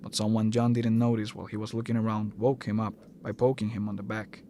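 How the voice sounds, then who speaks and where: Man, narrator, fairly deep, scripted storytelling, slight noise behind the voice. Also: level tone, fast, warm tone.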